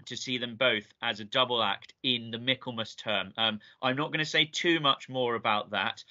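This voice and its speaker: A middle-aged Englishman hosts an informal interview. His voice is very bright and forceful, at an ordinary male pitch. The sound is a slightly dull video-call recording. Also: moderate pace. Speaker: host, college music staff. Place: book-lined room at home. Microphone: computer mic on video call.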